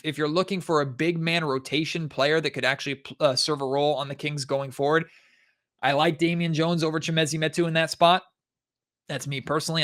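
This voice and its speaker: A man's voice: on the high side, a bit bright. A man hosting a sports podcast solo, giving commentary in a conversational way and speaking directly to his listeners.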